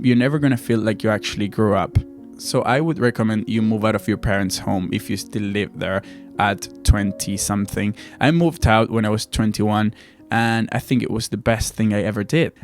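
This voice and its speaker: A young man from Argentina, a native Spanish speaker, giving casual advice to viewers as a video host. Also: fairly low pitch.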